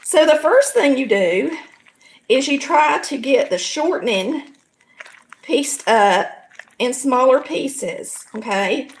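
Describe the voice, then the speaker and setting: A woman with a Southern US accent and a raspy, hoarse voice that sits a touch high. She talks folksily and explains every step as she cooks in her home kitchen, sounding middle-aged. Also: animated, slow. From American South. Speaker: home cooking show host. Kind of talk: casual cooking demonstration.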